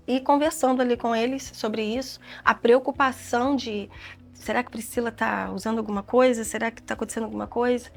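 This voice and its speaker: A woman in her forties with a Brazilian Portuguese accent, a gospel singer sharing her testimony in an earnest, reflective way. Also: a little high. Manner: soft-spoken, earnest, reflective. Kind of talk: personal testimony in an interview.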